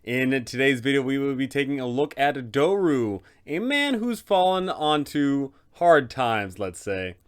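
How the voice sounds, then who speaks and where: A man hosting a tabletop game guide, narrating like a game master with theatrical, drawn-out emphasis. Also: mid-range voice, animated, smooth. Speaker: host, game master giving advice.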